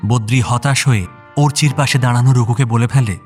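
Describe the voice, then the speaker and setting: A man's voice: medium pitch, steady tone, a little fast, soft background music. A man narrating a scripted audio story.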